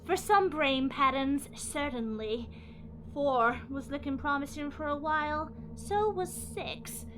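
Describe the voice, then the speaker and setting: High-voiced, slow, gloating villainess. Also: female voice, background noise. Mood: smug, cold. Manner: mocking, melodramatic, drawn-out taunting delivery. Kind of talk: sci-fi fan audio drama acting.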